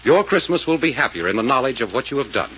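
A male announcer delivers a scripted 1940s radio appeal, solemn and emphatic. His pitch moves widely and his tone is forceful. The recording is dull with some noise. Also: very animated, fast.

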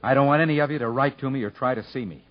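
An actor playing a proud, stubborn rural husband convicted of murder, with plain folksy speech, in a 1950s radio drama. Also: male voice, medium pitch, rapid-fire, close to an everyday voice. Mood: resigned, somber. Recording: dull 1950s radio broadcast recording.

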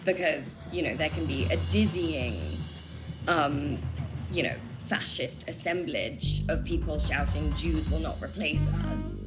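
A woman's voice: sits low, a little slow, low-quality recording. A woman in a reflective, unscripted discussion as a guest analyst, feeling her way through intellectual phrasing with hesitations. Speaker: interview guest giving political analysis. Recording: music playing behind the voice.

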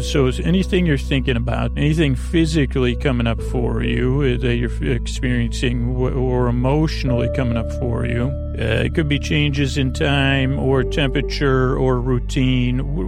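A man hosting a sleep podcast in a rambling, halting bedtime monologue, digressing and interrupting himself, with a gravelly voice. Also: medium pitch. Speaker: podcast host.

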